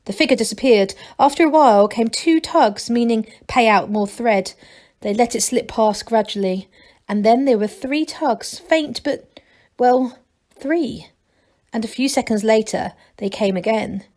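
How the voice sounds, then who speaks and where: Higher-pitched woman narrating a storybook. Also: unhurried, a little mellow. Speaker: teacher reading aloud. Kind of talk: expressive children's storybook narration.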